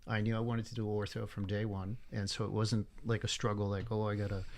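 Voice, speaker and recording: Older male surgeon, sixties, from Cuba, easygoing storyteller with a slightly low voice. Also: fairly quick. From Cuba, raised in Miami. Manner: relaxed storytelling with many fillers. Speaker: orthopedic surgeon guest. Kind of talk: casual interview chat. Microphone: headphones with studio mic.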